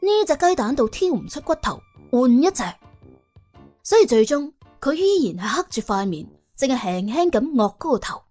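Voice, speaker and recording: A woman with a Cantonese accent narrating a comic audiobook in a colloquial, wry and animated way. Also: high voice, moderate pace, faint music behind.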